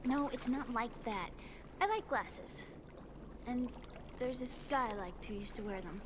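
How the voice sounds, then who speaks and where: An actress playing a shy young woman, a wounded younger sister who speaks softly and hesitantly. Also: on the high side, measured pace, background noise, lightly acted. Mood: wistful. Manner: soft and hesitant, slipping into whispers. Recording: game cutscene with music behind.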